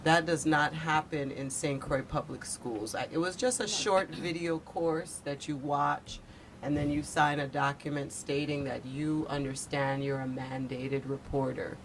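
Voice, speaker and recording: A woman's voice: deep voice, fairly even intonation, a little slow. Emphatic woman in panel discussion, faint noise. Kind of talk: earnest conversational discussion. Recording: faint background noise, room ambience.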